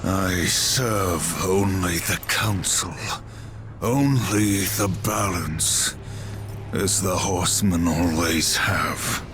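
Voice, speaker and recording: A man's voice: deep voice, extremely slow, noisy background. An actor playing a proud, defiant horseman of the apocalypse in a fantasy game, grim and terse. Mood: grim resolve. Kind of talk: fantasy video game cinematic acting.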